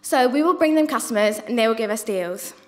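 A young woman gives a prepared student speech from her notes, speaking earnestly and stumbling now and then on a word before restarting. Her voice sits high. She speaks into a microphone on a stand in a university lecture theatre. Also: expressive, fairly quick. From not from New Zealand. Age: young adult. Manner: earnest, with small restarts on words. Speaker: student presenter.

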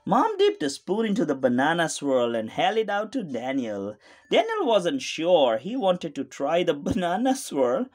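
Female children's read-aloud narrator, slow, very animated, engaging the child directly. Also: lowish voice. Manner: expressive, asks the listening child questions. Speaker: storybook reader for children.

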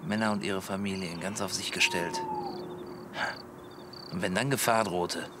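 An actor playing a bossy, melodramatic, self-important camper on night watch in a German comedy audio play. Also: male voice, low-pitched, unhurried. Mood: brooding, solemn. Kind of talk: German radio comedy acting. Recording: noisy outdoor night ambience with crickets.

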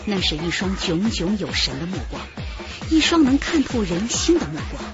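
A woman's voice: mid-range voice, sing-song, moderate pace, close to an everyday voice. A woman narrating a tense police radio drama in a dramatic, scripted storytelling style. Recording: muffled radio drama with music underneath.

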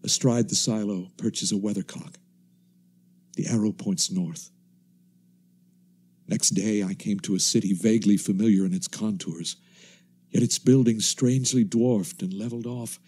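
An actor playing a weary, watchful wandering survivor in a radio drama, speaking in a measured, solemn, literary way. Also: male voice, lowish voice, darkish tone, breathy edge. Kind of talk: dramatic radio play narration.

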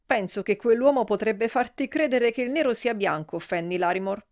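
A woman voicing a brash, gossipy middle-aged woman who speaks in shrill, exclamatory outbursts. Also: moderate pace, darkish tone, lightly acted.

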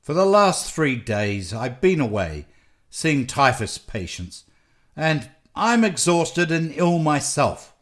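Guy doing a worn-out sick country doctor for an audiobook, grumbly and muttering, slow, pitch jumps around. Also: very animated, a bit bright, heavily acted. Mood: weary refusing. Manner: growling, muttering, weary. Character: exhausted grumpy feverish male country doctor, sleepy, stubborn.

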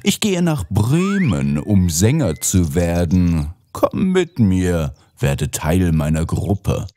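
A man voicing a friendly old donkey leader in a children's fairy tale cartoon, husky, with drawn-out, swooping delivery. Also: sits low, wildly swooping pitch, mellow, dry recording, heavily acted. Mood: friendly, encouraging.

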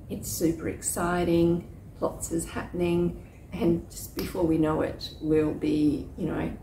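A woman in her forties, slightly croaky, chatting in a rambling casual way with many restarts, filmed by a nearby camera in a small outdoor courtyard. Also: low voice. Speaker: psychic reader and vlogger. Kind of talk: casual check-in vlog. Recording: echoey with loud ambient noise.

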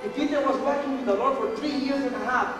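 Animated young preacher, Spanish accent. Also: male voice, a little fast, over music. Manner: animated, switches between English and Spanish, rising pitch when excited. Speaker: pastor. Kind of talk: bilingual sermon. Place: large church, at a pulpit. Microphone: gooseneck pulpit mic, far camera. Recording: echoey church from far off.

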